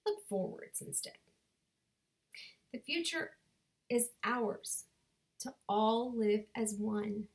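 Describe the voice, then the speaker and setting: A woman in her thirties recites her own spoken word poem into a webcam in a home bedroom. She takes her time, with a slow, rhythmic pace and deliberate pauses, and her voice sits slightly high for a woman. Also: expressive. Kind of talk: spoken word poetry performance. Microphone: webcam at desk distance.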